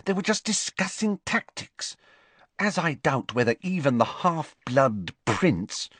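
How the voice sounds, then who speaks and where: A man's voice: a little high, very animated, a bit bright, no room sound, pitched above the performer's own voice, full character voice. Man voicing a bossy, scornful schoolgirl. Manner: brisk and lecturing.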